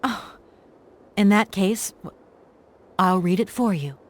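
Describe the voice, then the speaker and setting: A woman's voice: mid-range voice, animated, slow pace. An actress playing an earnest young professional woman, courteous and businesslike, in a video game. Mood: polite, surprised.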